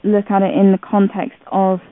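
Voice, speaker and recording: A woman's voice: mid-range voice, completely flat delivery, normal speed, no echo. A woman from Zambia, a Braille teacher and radio presenter, chatting and explaining patiently in a casual group call, laughing easily. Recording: tinny phone line, dry.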